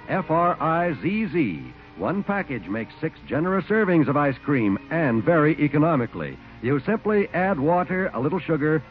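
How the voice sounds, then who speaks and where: A male announcer reads a forties radio advertisement, upbeat and persuasive. His fairly high voice is very animated, on a tinny recording with music behind. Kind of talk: 1940s scripted radio advertising.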